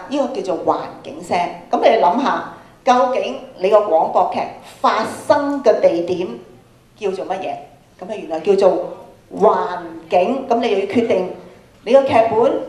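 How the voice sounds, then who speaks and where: A middle-aged woman with a Hong Kong Cantonese accent speaks as a workshop presenter. She addresses a student audience through a handheld microphone, often asking questions. Her voice rings with the echo of a large auditorium. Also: medium pitch. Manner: animated questioning, projecting to an audience. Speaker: workshop speaker, radio programme producer. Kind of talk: interactive lecture to students. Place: large school hall, on stage. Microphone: handheld microphone through PA, camera at a distance. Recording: echoey auditorium PA sound.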